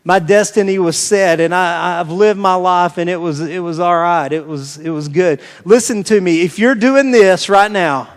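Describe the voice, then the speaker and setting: High-pitched American man preaching, long hall reverb. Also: normal speed, hardly any pauses, clear, resonant tone. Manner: informal, repeats phrases for emphasis. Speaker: pastor preaching to a congregation. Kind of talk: conversational sermon with scripture reading. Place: large reverberant room, preaching live.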